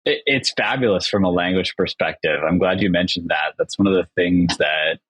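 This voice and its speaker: A man's voice: low voice, normal speed, a bit bright, husky, dry recording. A man, an agency founder appearing as a podcast guest, talking in an upbeat, enthusiastic way in long, flowing sentences. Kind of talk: casual interview chat.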